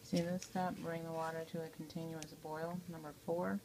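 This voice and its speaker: A woman's voice: low voice, little pitch movement, normal speed, noisy background. A woman giving a casual step-by-step tutorial in an informal way, often checking in with the viewer, recorded on a handheld phone in a home kitchen. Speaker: amateur how-to video maker. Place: home kitchen, indoors at the stove. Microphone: handheld phone behind the camera.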